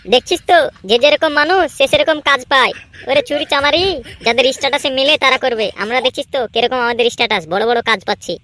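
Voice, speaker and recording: A woman performs an idle, boastful young village lad for a comedy cartoon. The voice is fairly high, bright and quick, with an argumentative, bantering delivery. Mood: boastful, squabbling. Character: cocky, idle young male village club thugs. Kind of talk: exaggerated comedy cartoon dialogue.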